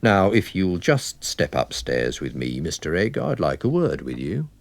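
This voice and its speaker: A man's voice: low voice, big pitch swings, voice pushed down, exaggerated voice. A man voicing a wary, methodical police inspector with a plain, official manner in a dramatised story reading. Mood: stern, suspicious. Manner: official, plain-spoken, deliberate.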